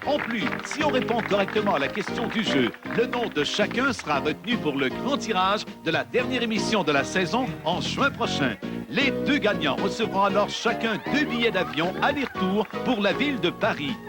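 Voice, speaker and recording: A man's voice: high-pitched, sing-song, normal speed. A man reading a scripted promotional prize announcement as a game show announcer. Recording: loud music under announcement.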